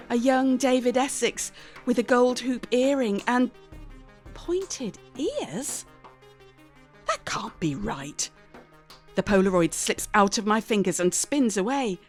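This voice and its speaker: Female storyteller, unhurried and expressive, very dry close sound, faint music underneath. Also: medium pitch, no room sound. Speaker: story reader. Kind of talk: dramatic first-person story narration.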